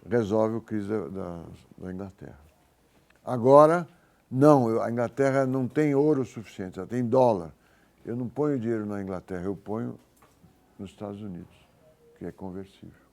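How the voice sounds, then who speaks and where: A man with a Brazilian accent giving a university economics lecture, informal and halting, thinking aloud and correcting himself as he works through examples. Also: lowish voice, creaky, darkish tone. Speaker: economics professor.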